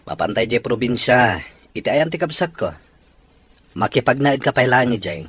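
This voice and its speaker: A man's voice: mid-range voice, lively intonation, normal speed, a bit bright, breathy edge. A man acting a struggling, jobless father who is firm with his young daughter, in an Ilocano radio drama with an Ilocano accent.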